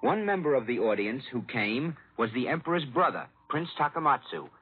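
A man's voice: mid-range voice, big pitch swings, normal speed, slightly rough. A man telling a scripted human-interest news report in 1940s radio style, on a tinny old recording. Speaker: foreign news correspondent.

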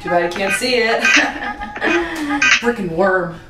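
A woman's voice: fairly high pitch, very animated, slow, loud music behind the voice. Goofy young woman in an echoey kitchen. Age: young adult. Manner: silly, self-mocking, loud outbursts. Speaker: vlogger. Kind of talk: goofy cooking vlog. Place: home kitchen at night. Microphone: camera across the kitchen. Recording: echoey kitchen, audible noise.

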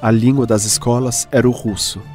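A Brazilian-accented male narrator tells a radio drama story in a measured way. His mid-pitched voice sits over clearly audible music. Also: fairly even intonation, darkish tone.

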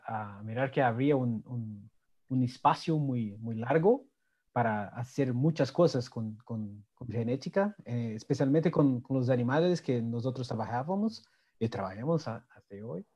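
A man whose first language is Portuguese, speaking Spanish with a Portuguese accent on a video-call panel, halting and searching for words. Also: mid-range voice, warm tone. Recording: dull lo-fi sound.

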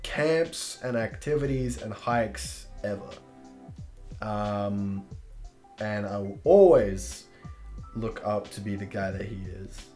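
A man's voice: on the low side, takes it slowly, dark tone, faint music behind. An eighteen-year-old man chatting casually as a podcast guest, teasing and joking and swearing loosely. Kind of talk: casual chat.

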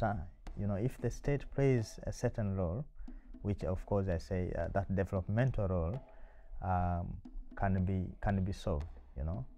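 Deep-voiced guy from tanzania, 30s, lots of uh and um, soft music behind. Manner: thoughtful, many filler pauses. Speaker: university lecturer and PhD candidate. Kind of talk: seated interview to camera. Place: small indoor room.

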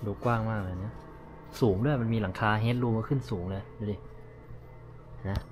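A man's voice: lowish voice, normal speed, a little mellow, slight background noise. A man in his thirties talking casually as a vlogger, thinking aloud while he looks around a shop. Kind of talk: casual vlog narration. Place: equipment shop, then outdoor lot.

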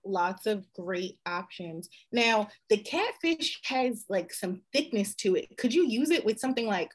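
A woman in her thirties hosting a live video call, reading audience questions in a measured, friendly way. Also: medium pitch, expressive. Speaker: live event host. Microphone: video call, webcam.

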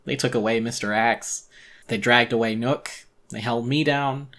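A man's voice: medium pitch, steady tone, moderate pace, fairly bright tone. A man narrating a first-person horror story as a theatrical dramatic reading.

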